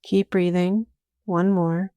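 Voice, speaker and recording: A woman guiding a meditation as a yoga nidra teacher, speaking calmly and soothingly in short phrases with long pauses. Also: on the low side, clear, resonant tone, dry recording. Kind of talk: guided meditation, slow spoken instruction.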